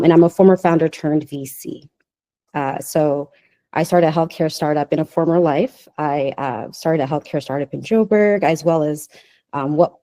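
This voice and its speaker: A woman with an American New Jersey accent introduces herself on a panel, composed and conversational. Her voice is very low and mellow, with a warm, relaxed tone. She was born in Nigeria. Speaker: venture capitalist, panelist.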